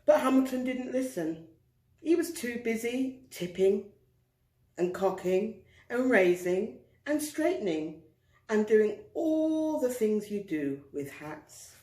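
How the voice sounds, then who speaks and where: A woman reading a picture book aloud to children, expressive and deliberate, drawing out words for emphasis. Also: a little high. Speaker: storyteller. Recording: roomy, echoing indoor sound.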